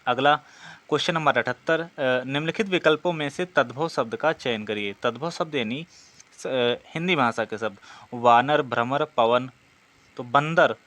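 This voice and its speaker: A man's voice: medium pitch, moderate pace, a bit bright. Man teaching an exam practice lesson. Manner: didactic, asks and answers own questions. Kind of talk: exam practice lesson, reading questions and explaining answers.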